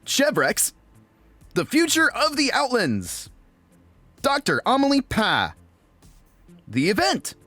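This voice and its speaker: A man's voice: very high-pitched, huge pitch swings, slow, pauses often, sharp tone, no echo, soft background music, full character voice. A man voicing a cheerful, naive, friendly robot who narrates an audiobook in an earnest, guileless way. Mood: hopeful.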